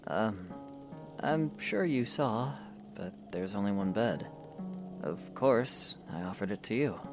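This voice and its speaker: A man's voice: fairly low pitch, animated, takes it slowly, music in the background. A man playing a humble, protective young swordsman in a fantasy audio drama, speaking in an earnest, hesitant way. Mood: sheepish. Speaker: voice actor.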